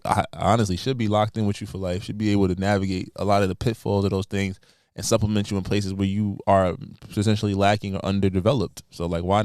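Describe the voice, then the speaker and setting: Man, low voice, steady and reflective, dry close mic, podcast chat. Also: clear, resonant tone, no echo. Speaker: podcast co-host.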